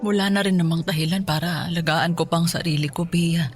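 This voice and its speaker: A woman's voice: lowish voice, little pitch movement, brisk, lightly acted. An actress playing a grieving, bitter middle-aged mother in a Filipino radio drama, tearful and accusing. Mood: grieving, despairing. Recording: music bed under the voice.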